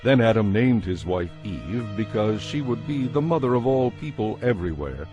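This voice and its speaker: A man's voice: on the low side, animated, normal speed, a little mellow, lo-fi sound, faint music behind. A man reading scripted narration for a dramatized audio Bible.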